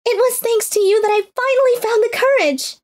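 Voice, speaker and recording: A woman's voice: extremely high pitch, brisk, darkish tone. A woman voicing an earnest teenage girl in a dubbed manga story. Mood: shy, earnest. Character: earnest shy teenage high school girl, later playful young bride. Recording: music under the voice.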